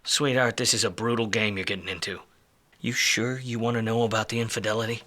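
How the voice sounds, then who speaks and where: An actor playing a world-weary, wisecracking private detective in a film noir radio play, wry and slangy. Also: male voice, on the low side, steady tone, a little fast, pushed and bright. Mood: dry, sardonic. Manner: hard-boiled, wry, slangy.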